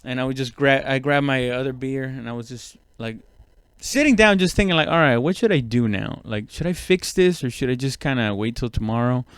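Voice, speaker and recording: A man's voice: medium pitch, a little fast, clear, resonant tone, no echo, studio quality. A man telling casual, comedic stories as a podcast host, rambling along in slangy, profane talk.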